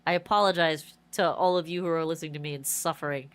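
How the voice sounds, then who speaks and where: A woman's voice: low-pitched, quick pace, clean tone. A woman streamer chatting casually and rambling through a story, her voice stuffy and nasal from a blocked nose. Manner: rambling, animated storytelling with many restarts. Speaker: game streamer. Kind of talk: casual livestream chat.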